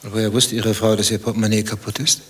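A probing, pedantic stranger in a satirical radio play. His lowish voice fires off dry, needling questions at a quick pace. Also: little pitch movement, husky. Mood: pedantic, curious. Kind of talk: dialogue-driven satirical radio play acting.

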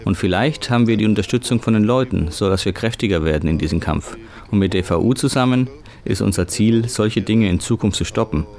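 Low-voiced man reading a translation. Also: brisk, a little mellow. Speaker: voice-over interpreter.

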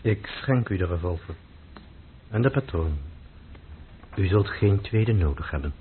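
Deep-voiced solemn man. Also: animated, unhurried. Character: solemn man bestowing a revolver. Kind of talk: radio drama acting. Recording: tinny, noisy old radio-play recording.

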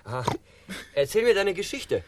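An actor playing a naive, good-hearted hunter in a theatrical, exclamatory manner for a comic radio play. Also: male voice, high-pitched, big pitch swings, moderate pace, no room sound. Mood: earnest. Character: male hunter, boastful yet contrite, naive, good-hearted.

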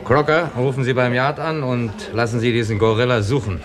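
A man playing a brisk, dryly authoritative police inspector in a 1960s German radio crime drama, questioning curtly and giving orders. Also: medium pitch, steady tone, natural voice. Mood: commanding. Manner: curt, commanding questioning. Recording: 1960s radio play, pub chatter behind.

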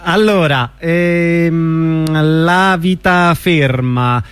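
A man interviewing a guest on the radio, informal and a little halting as he phrases his questions. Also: a little high, fairly bright tone, clean tone.

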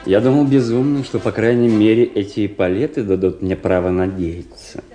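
An actor playing a vain, lovesick young officer in a Russian radio play, with a theatrical, high-flown manner. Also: male voice, steady tone, moderate pace, dark tone, natural voice. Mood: wounded, bitter. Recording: roomy echo, faint music behind.

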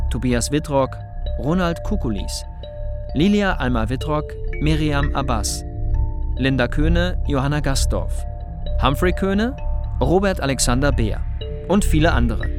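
A man formally reading scripted radio credits as an announcer. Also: mid-range voice. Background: music with chimes and mallets.

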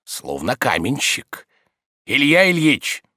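Casting: male reader as exasperated household servant for a storybook, folksy, dutiful nag, animated swings in pitch. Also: normal speed, dry recording, clean studio sound. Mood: hushed, cautious. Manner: colloquial, folksy nagging.

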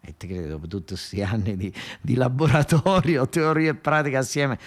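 A man's voice: mid-range voice, a little fast. A man with a southern Italian accent performing a colloquial, satirical storytelling monologue, earthy and sardonic, with emphatic repetition and asides to his listeners.

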